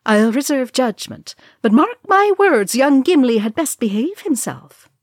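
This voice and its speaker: A woman's voice: high-pitched, very animated, a little slow, no echo, voice pushed up, full character voice. A proper, haughty dwarf lady of a fantasy storybook, as a woman reader acts her, scolding primly. The voice is raised well above the reader's own and sits dry. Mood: grudging, warning. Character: stern, disapproving, proper dwarf matron.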